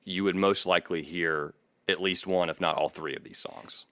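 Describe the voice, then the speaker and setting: A man with a fairly low voice, recorded close and dry. He hosts a casual podcast, laying out background in a chatty, explanatory way with a wry edge. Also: fairly even intonation, normal speed, fairly bright tone, no echo. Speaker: podcast host.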